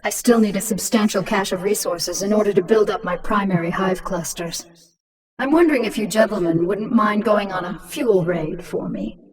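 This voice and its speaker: A woman's voice: mid-range voice, normal speed, far from the mic, over a noisy crowd, close to an everyday voice, low-quality recording. An actress voices a menacing alien queen from a video game, a cunning and sardonic woman in command. She is taunting and self-assured, heard a little off the mic over a clamor of many sounds. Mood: scheming.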